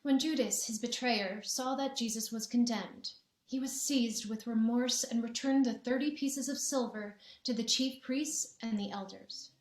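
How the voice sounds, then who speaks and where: A woman's voice: fairly high pitch, a little slow, roomy sound. A woman narrating a scripture passage in a church, reading evenly and carefully. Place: church sanctuary.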